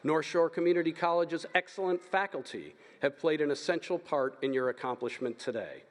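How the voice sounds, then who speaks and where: A man giving formal, steady announcements as presenter at a graduation ceremony. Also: a little high, brisk, slight background noise. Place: large hall. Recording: long reverb of a large hall.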